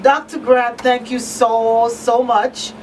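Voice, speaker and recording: A woman's voice: fairly high pitch, flat delivery, takes it slowly. Female talk show host, slightly off-mic. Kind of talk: live radio talk show hosting. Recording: slightly distant, faint noise.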